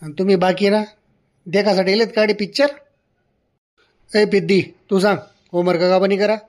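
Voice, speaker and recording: A bossy cartoon schoolteacher acted by a man with a notably high male voice and a Khandeshi Ahirani accent. He is stern and sarcastic, forever scolding his pupils. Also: fairly even intonation, moderate pace, lightly acted. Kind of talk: comedy cartoon dialogue.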